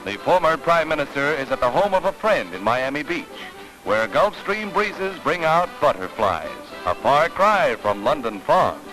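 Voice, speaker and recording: Man, American, high-pitched voice, dramatic newsreel narration, declamatory delivery, music behind, vintage 1940s soundtrack. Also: big pitch swings, normal speed, low-quality recording. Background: orchestral newsreel music.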